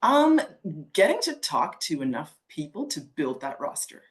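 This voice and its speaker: A woman who is a voice actor, with a very deep voice, earnestly answering a coach's questions on a video call. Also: big pitch swings, a little slow. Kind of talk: answering questions in a role-play.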